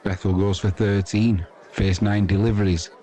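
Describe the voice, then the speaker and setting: A man's voice: lowish voice, little pitch movement, moderate pace, dark tone. A man giving informal, matey live cricket commentary and chatting casually with his audience. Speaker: live sports stream commentator.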